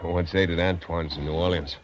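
Low-voiced, blunt tough guy played by a man. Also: steady tone, fast, noisy background, close to an everyday voice. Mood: sardonic, tough. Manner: terse, blunt. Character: tough, sardonic working-class American man in a lifeboat. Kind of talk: old-time radio drama acting. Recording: muffled old radio recording.

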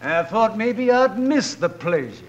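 An actor playing a swaggering, taunting outlaw gang leader in 1940s radio western villain style. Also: male voice, high-pitched, very animated, a little slow, muffled recording, a little hiss or hum. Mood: menacing. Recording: old 1940s radio drama recording.

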